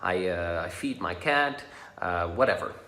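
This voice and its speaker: A man's voice: deep voice, animated. A man whose first language is Dutch, talking casually and encouragingly as a coach leading a guided tapping lesson. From Netherlands. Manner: casual, encouraging, drops to soft and slow when guiding. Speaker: social anxiety coach. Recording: echoey room.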